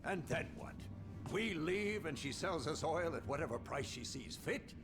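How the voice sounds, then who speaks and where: An actor playing a stern, domineering middle-aged military schemer in a video game cutscene, with a commanding, rhetorical delivery. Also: male voice, fairly high pitch, lively intonation, moderate pace, music in the background. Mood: scornful. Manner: commanding, rhetorical, forceful phrasing.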